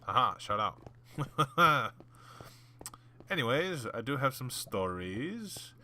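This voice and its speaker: A man's voice: medium pitch, big pitch swings, extremely slow, pushed and bright, a little hiss or hum. A young adult man hosting a gaming video, telling stories from work in a loose, rambling way with lots of fillers. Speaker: gaming channel host. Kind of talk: casual storytelling over gameplay. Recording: clear background clicking.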